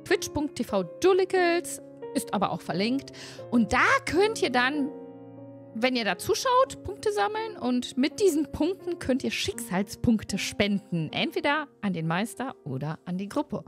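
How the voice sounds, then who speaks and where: A woman's voice: high voice, very animated, a little slow. A middle-aged woman hosting a casual chat about tabletop role-playing, speaking into a close desk microphone. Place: indoor room, on a video call. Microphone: close desk microphone with headset. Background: background music.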